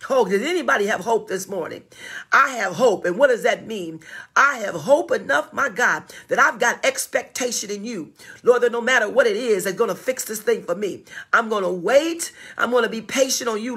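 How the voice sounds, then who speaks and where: A woman preaching a sermon with fervor, drawing out scripture quotes slowly and often breaking in with "my God" and "glory to God.". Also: fairly high pitch, fairly bright tone, slightly rough.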